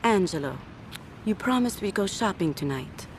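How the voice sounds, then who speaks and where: A woman's voice: medium pitch, expressive, normal speed, noisy background, lightly acted. A woman playing a sassy, girlfriend. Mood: pouty. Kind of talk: video game cutscene dialogue.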